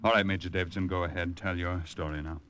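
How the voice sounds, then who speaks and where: An actor playing a brisk American intelligence colonel, clipped, authoritative and emphatic, in 1940s radio drama style. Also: male voice, sits low, steady tone, a bit bright, clear, resonant tone. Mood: brisk, urgent.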